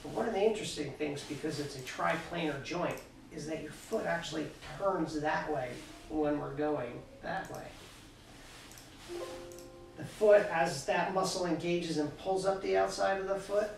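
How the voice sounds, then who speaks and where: A middle-aged man coaching skiing, calmly explaining foot movements and exercises while he demonstrates in a cluttered indoor workshop, filmed by a camera across the room. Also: on the high side, creaky, a little hiss or hum. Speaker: ski coach. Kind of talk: instructional demonstration. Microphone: far camera. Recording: echoey room, mic far off.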